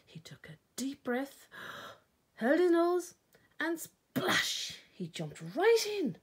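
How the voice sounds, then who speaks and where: An Irish woman reading a children's picture book aloud expressively, with dramatic pauses and emphasis. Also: high voice, sing-song. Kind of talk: storytime read-aloud narration.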